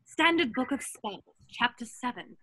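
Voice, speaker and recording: A woman's voice: a little high, expressive, a little slow, fairly bright tone, low-quality recording. A woman playing a bossy, know-it-all schoolgirl witch in an amateur script table read.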